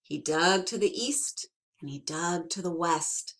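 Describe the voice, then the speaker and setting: A young woman teacher reading a storybook aloud to children, slowly and expressively. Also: fairly low pitch. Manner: slow and expressive, asks the listeners questions.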